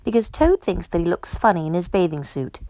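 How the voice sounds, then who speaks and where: An earnest, friendly male frog from a children's storybook, played by a woman reader. He speaks plainly and kindly, with animated rises and falls in a dry, close recording. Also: fairly low pitch, a little fast, dry recording.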